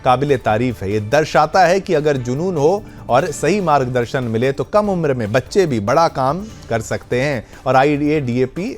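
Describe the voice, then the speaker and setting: Male news anchor over background music. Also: mid-range voice. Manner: explanatory, repeats and rephrases points for viewers. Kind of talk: news presentation with conversational explanations. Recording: music bed under the voice.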